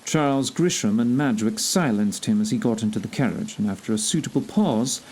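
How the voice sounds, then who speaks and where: A man plays a self-assured gentleman detective in an audio drama, formal and wry. The voice is mid-pitched and commanding, with a faint hiss behind it. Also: expressive, fairly quick, natural voice. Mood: assured deduction. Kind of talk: audio theatre acting.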